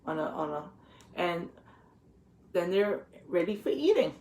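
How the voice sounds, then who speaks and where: A woman reading a children's picture book aloud, pausing to explain things simply and put questions to the young listeners. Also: lowish voice, very animated, darkish tone, slight background noise, rough recording. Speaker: read-aloud teacher.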